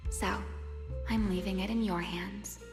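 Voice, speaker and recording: A woman playing an enigmatic, composed young game character who speaks in a measured, wistful, formal way. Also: medium pitch, steady tone, darkish tone, natural voice. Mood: solemn, gentle. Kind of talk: video game voice acting. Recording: long echoing reverb over music.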